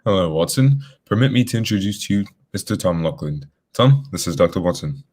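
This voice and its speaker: Radio mystery lead: composed, matter-of-fact detective, played by a man, deep voice, fast clipped delivery. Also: lively intonation, a little mellow. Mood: shrewd, probing. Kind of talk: radio drama acting.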